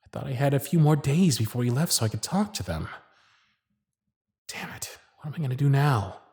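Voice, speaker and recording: A man playing a lovestruck, nervous circus performer in an intimate ASMR roleplay, speaking earnestly and haltingly. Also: medium pitch, lively intonation, dark tone, dry recording, clean studio sound. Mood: anxious, frustrated. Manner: hesitant, breathy, earnest, halting delivery.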